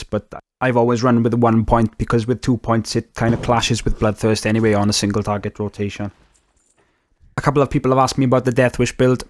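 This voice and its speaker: Man, Welsh accent, mid pitch, casual game commentary, chatty, explanatory, home mic voice-over. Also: darkish tone, dry recording. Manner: chatty, explanatory, with fillers and mild swearing. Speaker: gaming YouTuber.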